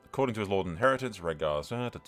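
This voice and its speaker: A man hosting a gaming video, giving casual, excitable and sweary commentary with some roleplay storytelling. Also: lowish voice, rapid-fire, soft background music.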